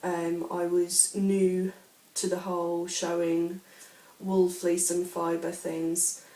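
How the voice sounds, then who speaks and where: A woman's voice: on the low side, level tone, slow pace, mellow, recorded from a distance. A woman hosting a casual craft podcast while she has a bad cold, sounding sniffly and hesitant, with plenty of ums and restarts. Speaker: podcast host. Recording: roomy echo, speaking away from the mic.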